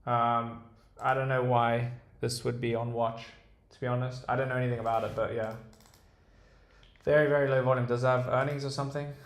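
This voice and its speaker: A man's voice: medium pitch, level tone, brisk. A young man with a British accent, casually talking through stock charts on a live stream and answering chat, hesitant with many ums and restarts. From Dubai. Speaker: day trader streamer. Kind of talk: casual livestream chart commentary, reading chat. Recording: roomy echo.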